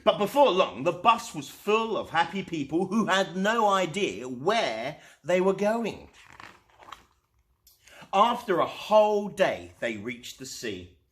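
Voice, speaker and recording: A man reading a children's storybook aloud as host, expressively and with dramatic pauses. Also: high-pitched. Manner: animated, unhurried, dramatic pauses.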